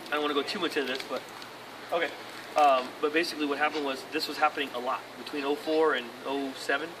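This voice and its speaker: A man touring a property, explaining in a rambling way how condo conversions work, often restarting his words. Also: on the high side. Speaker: real estate agent explaining condo conversions. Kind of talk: casual explanation on a property tour. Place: apartment complex outdoors, then a condo unit. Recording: distant voice with background noise.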